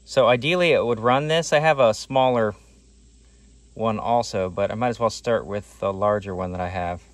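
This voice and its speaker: A man's voice: fairly low pitch, moderate pace, slight background noise. A man in his forties hosting a do-it-yourself channel, casually talking through a hands-on tool test, thinking aloud with restarts, recorded close on a phone camera. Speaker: DIY channel host. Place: outdoors on gravel and in a workshop.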